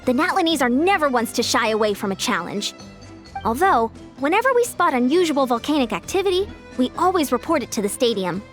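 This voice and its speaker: High, lively young guardian. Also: female voice, a little fast. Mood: thoughtful. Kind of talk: anime game voice acting. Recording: music behind the voice.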